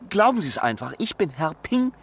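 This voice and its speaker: A tiny alien gentleman in a radio play for children. The voice is on the high side for a man and leaps about in pitch. He speaks formally and earnestly, a little prim, over audible background noise. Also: sing-song, fairly quick. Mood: insistent.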